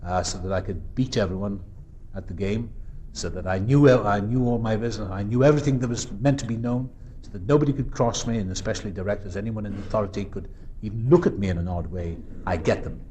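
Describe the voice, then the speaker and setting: Low-voiced Welsh man, earnest and reflective. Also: warm tone, slight background noise. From South Wales. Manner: earnest and reflective, with pauses and restarts. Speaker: recovery meeting speaker. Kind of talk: personal recovery talk. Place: meeting room.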